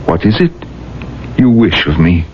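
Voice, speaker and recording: An actor playing a grave, scholarly village rabbi in a 1970s radio drama, speaking in a solemn, hesitant manner. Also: male voice, low voice, very animated, measured pace. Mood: grave, puzzled. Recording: muffled, hissy 1970s radio broadcast.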